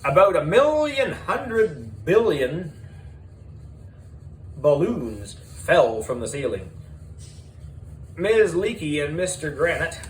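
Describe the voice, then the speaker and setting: A man narrating a children's audiobook in the character of a schoolboy, animated and deliberate, with dramatic pauses. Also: on the high side, a little hiss or hum, poor audio.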